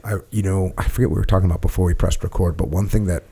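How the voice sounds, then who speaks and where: Low-voiced American man hosting a talk. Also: fairly even intonation, very fast, gravelly, dark tone, slightly rough. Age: middle-aged. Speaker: podcast host. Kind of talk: casual conversation, war reminiscing with some reading aloud.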